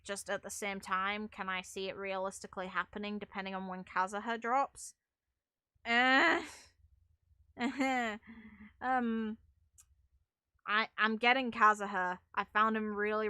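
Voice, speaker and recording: A woman's voice: mid-range voice, fairly even intonation, measured pace, bright, forceful tone, smooth, no room sound, studio quality. A woman doing casual, rambling gaming commentary in a frank, casually sweary way, like a streamer talking to viewers. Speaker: game streamer.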